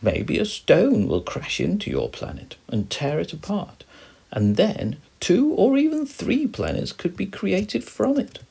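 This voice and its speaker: A man's voice: sits high, huge pitch swings, unhurried, gravelly, husky, pitched above the performer's own voice, heavily acted. A man voicing a wise, kindly sun who patiently mentors a young star in a bedtime story. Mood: reassuring. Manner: reassuring, gentle.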